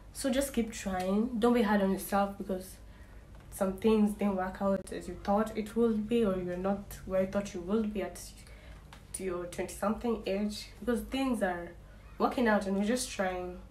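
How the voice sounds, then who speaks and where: A woman's voice: mid-range voice, a little mellow. A woman in her twenties talking to camera in a casual vlog, candid and wry, rambling with frequent restarts. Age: 20s. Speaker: vlogger. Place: small indoor room. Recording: echoey room, faint ambient hiss.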